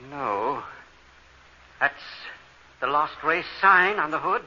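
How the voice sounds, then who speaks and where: An actor playing an earnest, scholarly scientist who muses in measured, articulate phrases in an old science fiction radio drama. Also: male voice, a little high, animated, pushed and bright, big-room sound, exaggerated voice. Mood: intrigued. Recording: muffled, noisy old radio recording.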